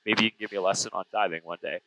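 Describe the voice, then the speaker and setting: Male interviewer, about 30. Conversational, fairly low pitch, bright forceful tone, recorded on a cockpit headset. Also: steady tone, very fast, no echo, low-quality recording. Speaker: interview host, pilot. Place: small plane cockpit in flight. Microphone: aviation headset boom. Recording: close aircraft headset intercom.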